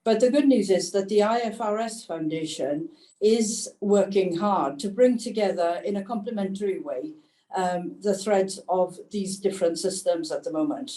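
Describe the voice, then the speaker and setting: A woman on a webinar panel, speaking in a measured, thoughtful way with pauses and fillers over a video call. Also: medium pitch, dark tone. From Wales. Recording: echoey room, distant mic.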